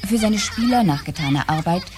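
Actress as sober radio-drama consultant; markedly deep for a woman, matter-of-fact, noisy background. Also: expressive, fairly quick, lightly acted. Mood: sober, factual. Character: sober female consultant presenting a scientific report. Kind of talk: scripted German radio drama, report reading.

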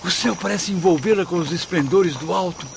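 A man's voice: high-pitched, a little fast, creaky, noisy background. An actor playing an anxious, devout male spirit in a radio drama, theatrical and full of wonder, with a Brazilian accent. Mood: awestruck wonder. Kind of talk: radio drama acting, formal literary diction.

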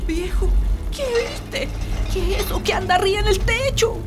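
A woman's voice: very high-pitched, moderate pace, music in the background. A woman playing an anxious, nagging country wife in a radio drama, with a Chilean accent and a worried, questioning manner. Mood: frightened.

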